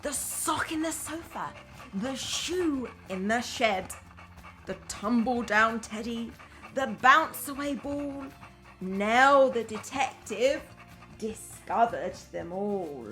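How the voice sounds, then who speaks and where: A woman primary school teacher reading a story aloud to young children in an enthusiastic, expressive way, chatting to them between lines. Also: a little high, slow, soft background music. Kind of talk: children's storytime read-aloud with asides to the audience.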